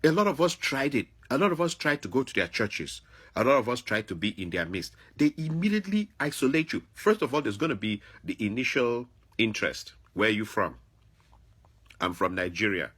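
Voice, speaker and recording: A man's voice: medium pitch, sing-song, a little fast, fairly bright tone, breathy edge. A man from Africa, delivering an opinionated, confrontational monologue to another person, repeating phrases for emphasis. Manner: confrontational, admonishing. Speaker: vlogger.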